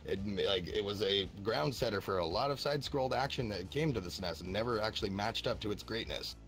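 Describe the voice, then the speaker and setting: A man in his twenties, a streamer guest in a casual podcast chat, talking in an animated way and swearing now and then. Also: a little fast, faint music behind, rough recording. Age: 20s. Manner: animated, swearing, jumps into high mock voices.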